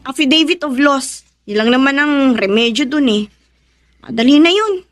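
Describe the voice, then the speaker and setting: A woman's voice: high-pitched, lively intonation, moderate pace. A woman playing a young, practical but plaintive commuter in a Filipino radio drama. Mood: rueful, annoyed.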